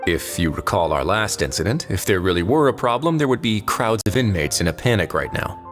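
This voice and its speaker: Casting: deep, unhurried, dryly teasing prison duke in a video game, long reverb, background music. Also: male voice, very animated. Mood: calm. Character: composed, wry prison warden duke, adult man. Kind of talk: video game character acting.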